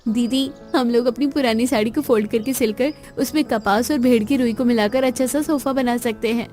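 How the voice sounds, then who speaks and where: A woman's voice: a little high, fairly even intonation, fairly quick, a little mellow, soft background music, close to an everyday voice. A woman voicing a resourceful, caring young daughter-in-law in a cartoon story. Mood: brisk, practical.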